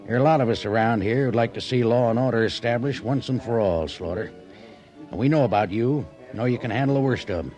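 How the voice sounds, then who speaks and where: An actor playing an earnest, folksy cattle buyer in an Old West radio drama. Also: male voice, medium pitch, quick pace, darkish tone, faint music behind, close to an everyday voice. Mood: earnest persuasion. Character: plain-spoken, civic-minded male frontier cattle buyer. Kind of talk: 1950s radio western acting. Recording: vintage radio drama recording.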